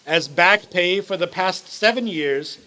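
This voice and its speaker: A man's voice: sits high, measured pace, bright, forceful tone. An actor playing an elderly reformed miser in a radio play, grand, formal and emphatic in his delivery. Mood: generous, brisk.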